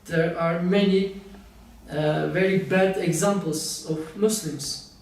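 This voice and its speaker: High-voiced older man, slow and halting. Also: fairly even intonation, darkish tone. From Turkey. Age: middle-aged. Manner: halting, searching for words in English. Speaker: interviewee. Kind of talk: casual interview answers. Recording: very echoey room, distant mic.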